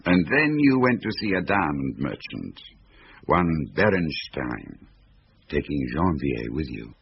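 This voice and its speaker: An actor playing a thoughtful man recalling cases with a detective friend in a radio drama, measured, precise and gently probing. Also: very deep voice, big pitch swings, creaky, exaggerated voice. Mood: reflective, probing. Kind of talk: conversational radio drama recollection. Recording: dull, noisy radio drama recording.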